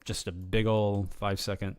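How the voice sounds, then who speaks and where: A man with a lowish, slightly scratchy voice that sounds like it is wearing out. He explains a game in a loose, unscripted ramble, stopping and restarting his sentences often. His delivery stays easygoing and conversational. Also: moderate pace, clear, resonant tone. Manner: rambling, hesitant, full of ums and restarts; relaxed, casual explaining. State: slightly hoarse, losing his voice. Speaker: game guide video creator. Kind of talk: unscripted game tutorial commentary.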